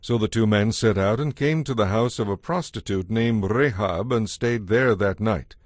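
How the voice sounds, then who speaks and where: Male scripture narrator: deeper register, solemn and measured, close dry recording, storytelling delivery. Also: no room sound. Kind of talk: scripted audio Bible narration.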